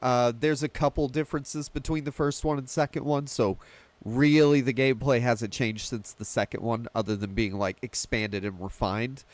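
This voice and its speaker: A man's voice: medium pitch, fairly even intonation, normal speed, a little mellow, dry recording. A man hosting a casual, conversational discussion about films in an informal way. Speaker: podcast host. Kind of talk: casual film discussion chat.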